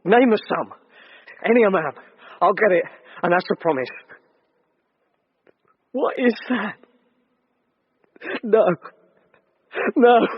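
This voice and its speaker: A man playing a blustering, panicky English tough-guy captive in a radio drama, with a rough voice. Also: sits high, expressive, slow pace, long pauses, no echo, full character voice. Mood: panicked pleading. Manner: blustering, colloquial. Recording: dull radio recording.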